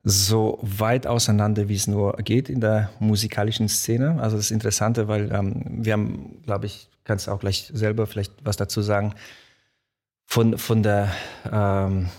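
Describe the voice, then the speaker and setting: Low gravelly man, hesitant guest. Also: little pitch movement, moderate pace, darkish tone. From Poland. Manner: hesitant, many fillers. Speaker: guest musician. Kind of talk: casual interview talk. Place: podcast studio.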